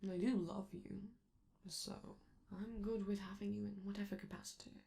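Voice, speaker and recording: A woman voicing a flamboyant, affectionate robot entertainer in an intimate ASMR roleplay, softly and hesitantly, slipping toward whispers with theatrical endearments. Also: mid-range voice, fairly even intonation, normal speed, creaky, close to an everyday voice. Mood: tender, earnest.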